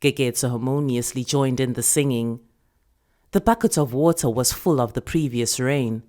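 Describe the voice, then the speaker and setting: Woman, South African accent, very low pitch, scripted literary narration, short story reading. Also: normal speed, darkish tone.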